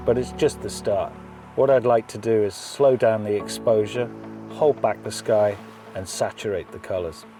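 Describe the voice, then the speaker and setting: A man narrating an instructional film in the first person, explaining his choices in a measured way. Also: medium pitch. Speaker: photographer narrator. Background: music.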